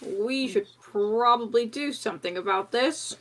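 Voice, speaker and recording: Bright, high-voiced woman playing a roleplay game. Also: a little slow, poor audio. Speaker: tabletop roleplay player. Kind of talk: casual game chat, partly in character.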